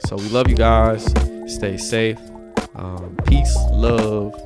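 A man's voice: on the low side, steady tone, slow, over loud music. A man co-hosting a podcast, laid-back and easygoing in casual chat. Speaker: podcast co-host.

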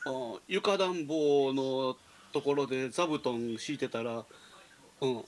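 A man's voice: mid-range voice, slow pace, slight background noise. A man with a Kansai accent chatting casually in a conversation. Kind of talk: casual chat.

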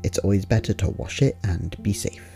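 A man's voice: low voice, moderate pace, vocal fry, dark tone, soft background music. A man playing a friendly, rambling diarist in an ASMR roleplay, talking casually with wry, hesitant asides. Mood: earnest, wry.

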